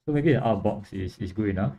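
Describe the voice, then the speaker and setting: A young man from Singapore with a Singaporean accent, casually chatting on a livestream and answering viewers in a relaxed, rambling way. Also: lowish voice, fast, a little hiss or hum. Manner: relaxed, rambling, frequent fillers and restarts. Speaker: livestream host and model kit builder.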